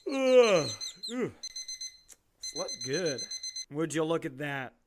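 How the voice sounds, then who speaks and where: Low-voiced awkward young doctor, rambling chatty, faint hiss. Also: female voice, very animated, extremely slow, full character voice. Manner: rambling, self-deprecating and chatty. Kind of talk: audio drama acting.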